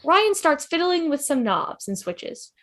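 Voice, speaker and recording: A woman's voice: high voice, very animated, a little slow, fairly bright tone, a little hiss or hum. A woman reading stage directions expressively as scripted narration for a table read over a video call.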